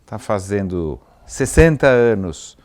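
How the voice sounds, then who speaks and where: Male host, Brazilian accent, lowish pitch, casual conversational style, halting phrasing, checks for confirmation. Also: big pitch swings, darkish tone. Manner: halting, rephrasing, seeking confirmation. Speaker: interview host.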